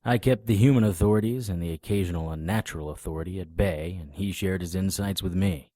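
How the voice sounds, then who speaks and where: A low-voiced sardonic occult investigator. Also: male voice, quick pace, mellow, dry recording, studio quality. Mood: wry, measured. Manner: sardonic, confrontational. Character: wry, stubborn male occult investigator, hard-boiled narrator. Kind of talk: noir audio drama dialogue and narration.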